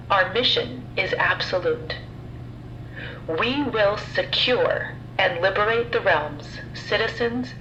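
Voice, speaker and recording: A woman's voice: fairly low pitch, pushed and bright, highs cut off, a little hiss or hum. A woman voicing a cold, menacing council leader. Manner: measured, menacing, formal. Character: cold female council leader issuing an ultimatum.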